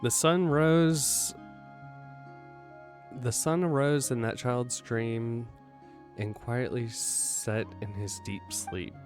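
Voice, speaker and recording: A male game streamer with a medium-pitched voice. He reads and comments casually at a slow pace, with faint music behind him. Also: steady tone. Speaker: game livestreamer. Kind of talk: reading story text aloud and casually commenting.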